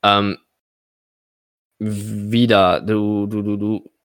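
A man's voice: on the low side, completely flat delivery, extremely slow, fairly bright tone, smooth, dry recording, clean studio sound, soft background music. A man running a tabletop role-playing game as game master, narrating off the cuff and thinking aloud.

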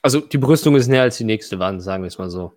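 A man with a low voice leads a tabletop roleplaying game. He talks casually and hesitantly, muttering to himself while he works out the rules. The sound is dry, with very little room in it. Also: lively intonation, quick pace, darkish tone, no echo. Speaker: game master.

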